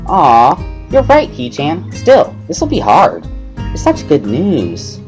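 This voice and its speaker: A playful, bubbly teenage girl from a visual novel, acted out by a man. The voice is pitched up high and bounces around in a sing-song way, exclaiming and stretching words, with soft music behind it. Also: huge pitch swings, takes it slowly, full character voice. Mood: excited.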